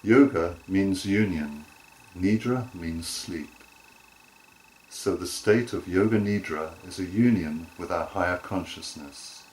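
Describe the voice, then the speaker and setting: A man teaching guided relaxation in measured, deliberate phrases with short pauses. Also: sits low, a little mellow, a little hiss or hum, rough recording. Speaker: yoga nidra teacher.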